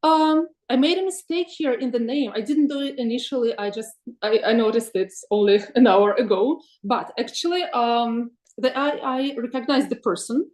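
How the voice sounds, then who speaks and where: A woman's voice: on the high side, normal speed, hardly any pauses, a little mellow. Woman, webinar presenter, hesitant and friendly delivery, roomy sound. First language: Russian. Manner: thoughtful, many ums and uhs, asks for next slide. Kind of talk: presenting slides in an online webinar.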